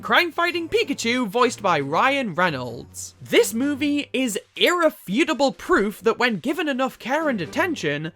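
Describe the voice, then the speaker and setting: A woman delivering scripted video essay commentary as a reviewer, opinionated and emphatic with dramatic pauses. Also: fairly high pitch, fairly bright tone. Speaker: video reviewer, channel host.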